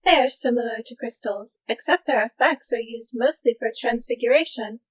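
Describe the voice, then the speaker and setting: Woman playing a high-voiced, knowledgeable gamer girl. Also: normal speed, close to an everyday voice. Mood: explanatory. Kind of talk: audiobook character acting.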